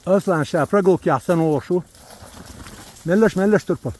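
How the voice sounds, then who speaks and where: High-voiced man chatting on a hike. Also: a little fast, a little mellow, slight background noise. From Chechnya. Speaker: hiker. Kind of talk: casual chat among friends on a hike. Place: outdoors in a mountain gorge.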